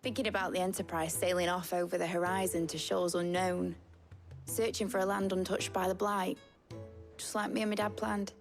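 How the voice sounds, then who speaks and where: A woman's voice: lowish voice, flat delivery, normal speed, soft background music, lightly acted. An actress playing an earnest, hopeful young female engineer with a British accent, speaking informally and from the heart in a video game drama. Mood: wistful, earnest.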